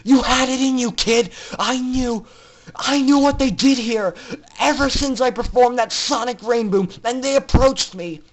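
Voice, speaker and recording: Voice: mid-range voice, measured pace, voice pushed up, poor audio. A performer voicing a hateful, bitter female pegasus villain who screams furiously in a dramatic story reading. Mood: bitter anger.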